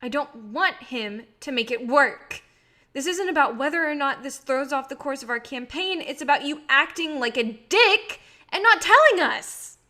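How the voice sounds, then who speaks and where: A high, sharp-edged voice for an exasperated woman on a film team , as an actress plays her. She is level-headed yet insistent and reproving, in a staged drama reading. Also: lively intonation, moderate pace. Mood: annoyed.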